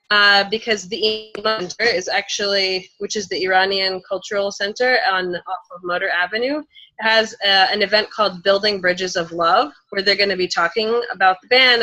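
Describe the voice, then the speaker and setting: A woman's voice: medium pitch, bright, forceful tone, low-quality recording. A woman speaking informally and conversationally as a panelist, often dropping "like" into her sentences. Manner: informal, frequent use of "like". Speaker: panelist, community organizer. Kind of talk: conversational panel discussion answer.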